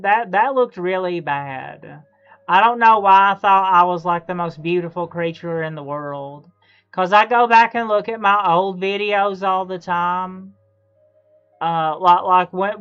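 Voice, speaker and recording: A man in his thirties chatting casually as a live streamer, halting and repeating his phrases over and over. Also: high-pitched, a bit bright, smooth, dull audio. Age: 30s. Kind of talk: casual chat while gaming.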